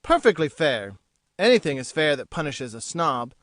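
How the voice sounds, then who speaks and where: A woman reading an audiobook, voicing a smug, teasing young gentleman who talks in an arch, lofty way. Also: low-pitched, big pitch swings, moderate pace, no echo, pitched below the performer's own voice. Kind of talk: audiobook character voice.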